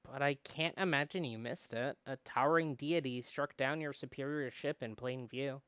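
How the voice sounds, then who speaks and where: A man reading aloud as an earnest, anxious young runaway rookie officer in a visual novel, speaking haltingly. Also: medium pitch, fairly even intonation, a bit bright, smooth, no room sound, natural voice. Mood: hesitant, probing. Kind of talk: amateur visual novel read-aloud acting.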